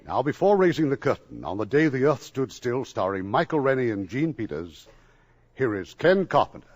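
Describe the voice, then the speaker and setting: Husky man announcing an old radio show, formal and stately, dry close sound. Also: medium pitch, sing-song, normal speed, no room sound, highs cut off.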